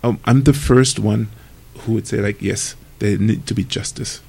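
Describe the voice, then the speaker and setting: A man's voice: lowish voice, fairly even intonation, moderate pace, gravelly, a little mellow, husky. A man on a radio panel who serves on hospital committees, speaking hesitantly with many ums and restarts.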